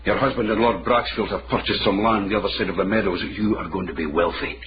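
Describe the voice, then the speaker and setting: An actor playing a shrewd, defiant Edinburgh caddy in a period radio drama, with a Scottish accent and a sardonic, accusing manner. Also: male voice, fairly low pitch, fairly even intonation, fast talker, natural voice. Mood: scornful. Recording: muffled, noisy old radio drama recording.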